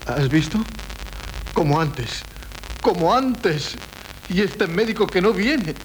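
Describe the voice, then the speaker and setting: Flustered, high-voiced anxious husband. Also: male voice, big pitch swings, slow, exaggerated voice. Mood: agitated. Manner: stammering, pleading, hesitant. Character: flustered, anxious husband disowned by his wife. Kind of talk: 1970s Spanish radio comedy acting. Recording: noisy old 1970s radio recording.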